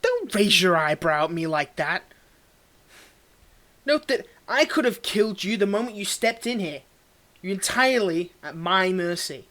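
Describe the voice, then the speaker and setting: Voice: medium pitch, expressive, unhurried, fairly bright tone. An actor playing a cold, condescending tsundere villain in a dramatic audio roleplay, taunting and theatrical as they address the listener directly. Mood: taunting, haughty. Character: cold, mocking tsundere villain, grudgingly tending a wounded hero.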